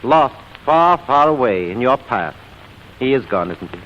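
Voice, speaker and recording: A man's voice: medium pitch, sing-song, measured pace. A man playing a smitten, pompous romantic suitor, flowery and theatrical in 1940s comedy style. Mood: adoring. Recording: muffled old soundtrack, faint noise.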